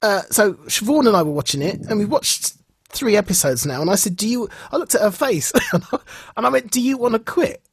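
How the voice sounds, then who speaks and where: Husky-voiced male podcast host, close and dry. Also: high-pitched, brisk, dry recording. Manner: animated storytelling, acts out reported dialogue. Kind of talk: casual enthusiastic podcast chat.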